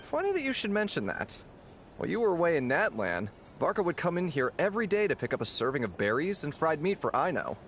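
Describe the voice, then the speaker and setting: A man's voice: a little high, huge pitch swings, quick pace, a bit bright, a little hiss or hum, exaggerated voice. A man playing an affable, easygoing innkeeper in a video game, casual and chatty in manner. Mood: amused, chatty. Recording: background music under the voice.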